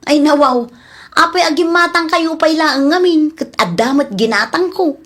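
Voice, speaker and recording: A woman with an Ilocano accent playing a stingy, nagging young wife with a husky voice in an audio comedy drama. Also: high voice, normal speed, fairly bright tone. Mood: irritated. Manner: complaining, suspicious.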